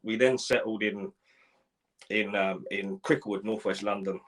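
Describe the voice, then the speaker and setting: A man in his forties from Ireland, telling his life story in a live interview, halting, with many restarts and repeated words. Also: lowish voice, fairly even intonation, a bit bright. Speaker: guest.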